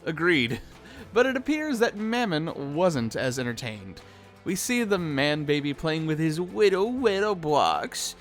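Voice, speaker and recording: A man's voice: fairly high pitch, sing-song, a little slow, faint music behind. A man co-hosting a fan recap, giving opinionated, casual commentary peppered with swearing. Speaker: co-host of a fan recap show. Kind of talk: animated fan commentary with ranting.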